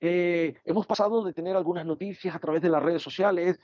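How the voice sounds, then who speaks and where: A man's voice: sits high, fairly even intonation, fairly quick. Venezuelan man preaching, dull lo-fi sound. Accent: Venezuelan Spanish. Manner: reflective, frequent "eh" and "¿no?" tags. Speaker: pastor. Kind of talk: sermon.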